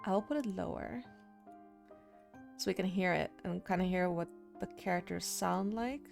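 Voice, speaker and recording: Woman streamer, slightly creaky voice over music. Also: fairly low pitch, normal speed. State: slightly under the weather. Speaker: streamer playing a horror visual novel. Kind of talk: casual let's-play commentary, reading game text aloud.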